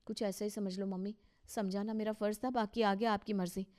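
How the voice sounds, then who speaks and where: A woman's voice: mid-range voice, steady tone, fairly quick, darkish tone, close to an everyday voice. A woman voicing an earnest young married daughter in a cartoon story, speaking with urgent caution. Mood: earnest warning.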